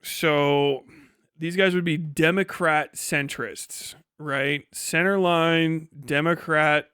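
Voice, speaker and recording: Guy streamer reacting live, kinda high voice, sweary and sarcastic, super dry close sound. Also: steady tone, slow, sharp tone, clean tone, no room sound. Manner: profane, blunt, sarcastic. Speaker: livestream host reacting to a debate video. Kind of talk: casual opinionated live commentary.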